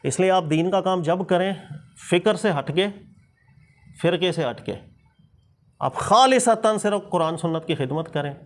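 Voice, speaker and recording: A man's voice: high-pitched, normal speed, long pauses. A man with a Pakistani accent, a religious teacher explaining points emphatically in informal discussion, often repeating himself for stress. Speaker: Islamic scholar and teacher.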